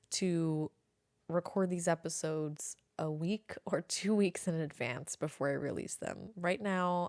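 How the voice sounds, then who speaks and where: Lower-voiced woman, late twenties, casual chat. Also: creaky, a little mellow, dry recording, clean studio sound. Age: late 20s. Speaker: podcast host. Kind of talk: casual solo podcast chat. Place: at home near an airport.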